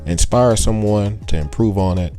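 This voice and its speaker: A young man in a relaxed, chatty voice-over, giving hobby tips with the odd small laugh. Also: fairly low pitch, normal speed, faint music behind. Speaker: aquarium hobbyist vlogger. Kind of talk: casual how-to voice-over.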